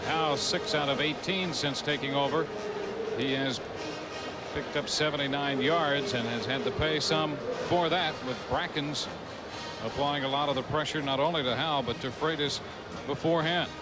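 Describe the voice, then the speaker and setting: A man's voice: fairly high pitch, moderate pace. An American man calling live football play-by-play as a television sports announcer. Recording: loud crowd, music, 1990s sports broadcast. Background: loud stadium crowd and band music.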